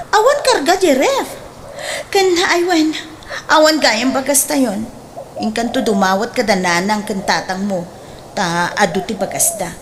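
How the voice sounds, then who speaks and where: An actress plays a coy, wheedling mother of five in an Ilocano radio drama. Her Ilocano-accented voice swoops up and down in a very lively way, with slight noise behind it. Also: high-pitched.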